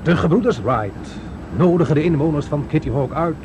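An actor playing a calm, reassuring and wry inventor in a 1970s radio play. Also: male voice, on the high side, brisk, background noise, natural voice.

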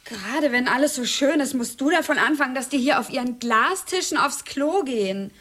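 A woman's voice: high voice, expressive, brisk, a bit bright. An actress playing a naive, whiny young woman, a killer's childlike girlfriend, in a German radio drama. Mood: earnest, chiding.

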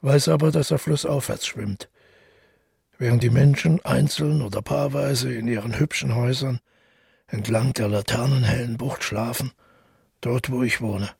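A haunted, solitary man confessing in a literary radio play. His voice is very husky and full of gravel, recorded close and dry, and he speaks in a measured, inward way. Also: medium pitch, fairly even intonation, darkish tone, no room sound, exaggerated voice. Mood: brooding, reflective. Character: brooding, isolated man haunted by dreams and guilt. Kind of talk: literary radio drama monologue.

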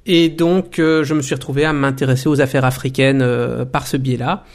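A man in his forties, a documentary maker and former journalist, telling his story in a radio interview with thoughtful pauses and restarts. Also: medium pitch, fairly even intonation. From Belgium. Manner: thoughtful, many hesitations and restarts.

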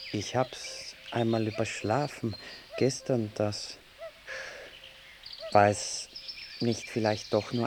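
An actor playing a dreamy, earnest young gentleman in a theatrical audio drama, speaking in a deliberate, musing way. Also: medium pitch, background noise, close to an everyday voice. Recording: outdoor ambience with birdsong behind.

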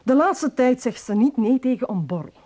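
A woman's voice: fairly high pitch, expressive, moderate pace, warm tone. A woman acting in a Flemish radio drama as a worried, insistent young bride talking to her father. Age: young adult.

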